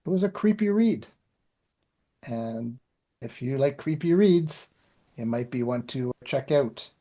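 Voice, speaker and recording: A middle-aged man with an average-pitched voice co-hosts a podcast. He talks informally and plainly, as if swapping everyday stories. The delivery is casual and conversational. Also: lively intonation, a little slow, warm tone. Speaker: podcast co-host and writer. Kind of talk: casual podcast chat about writing.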